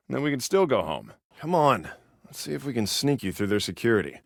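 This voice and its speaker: A man's voice: mid-range voice, no room sound, studio quality. A man with an American accent playing a protective, exasperated cop and older brother in a video game, earnest, bossy and wry. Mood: coaxing, exasperated.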